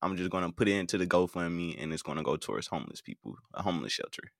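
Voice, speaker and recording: A man's voice: low-pitched, lively intonation, racing, gravelly, no room sound, studio quality. An American man hosting a live call-in show in a casual, hesitant way, often repeating his words. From United States. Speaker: podcast game show host.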